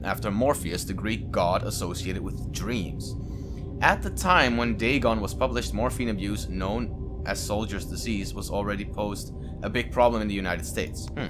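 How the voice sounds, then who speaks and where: A man with a fairly low voice hosts a gaming video over clearly audible music. He is a non-native English speaker, casual and chatty, moving between reading aloud and offhand jokey remarks. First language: not English. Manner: informal, chatty, jokey asides. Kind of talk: casual let's-play commentary and reading aloud.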